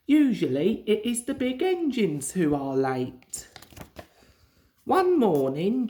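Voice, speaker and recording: Slow-paced woman reading a children's story. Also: fairly low pitch, a little mellow. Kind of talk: read-aloud children's story narration.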